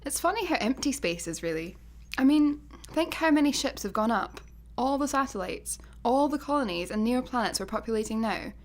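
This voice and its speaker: A woman's voice: a little high, lively intonation, fairly quick, close to an everyday voice. A woman playing an exasperated, conversational space traveller in a sci-fi comedy radio play. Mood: wistful.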